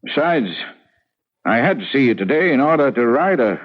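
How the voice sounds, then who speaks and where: In a muffled old radio drama, a genial country clergyman. The voice is husky and very animated, courteous and a little puzzled. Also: medium pitch, moderate pace.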